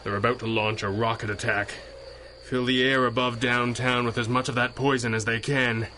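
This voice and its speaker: An actor playing an exhausted, erudite masked hero who speaks drily and formally in a radio drama. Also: male voice, medium pitch, flat delivery, normal speed, pushed and bright, a little hiss or hum, close to an everyday voice. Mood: weary, dry. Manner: dry, formal wording. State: weak, exhausted. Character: weakened, wry, erudite male masked hero, stubborn.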